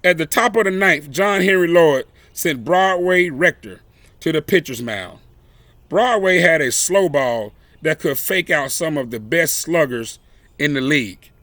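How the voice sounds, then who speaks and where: Male storyteller for children's picture book: unhurried, expressive and dramatic, crisp bright tone, dry recording. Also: on the high side, dry recording. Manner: dramatic, deliberate phrasing with exclamations acted out.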